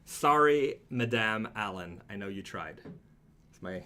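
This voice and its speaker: A man's voice: lowish voice, animated, measured pace, pushed and bright, a little hiss or hum. A man chatting casually as a live stream host, talking through products in a rambling, easygoing way.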